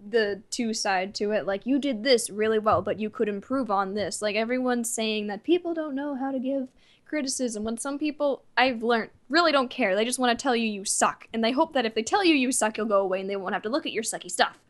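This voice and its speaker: A woman's voice: a little high, fairly quick. A woman speaking as a podcast guest in casual conversation, candid and informal with blunt opinions. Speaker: podcast guest, amateur voice actor. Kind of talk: casual interview chat.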